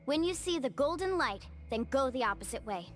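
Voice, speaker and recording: Game role: young woman expedition guide, high-pitched, friendly, sensible and careful, music underneath. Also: lively intonation, a little fast. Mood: cautious, firm. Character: level-headed young woman guiding an expedition, friendly and cautious. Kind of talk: English video game voice acting.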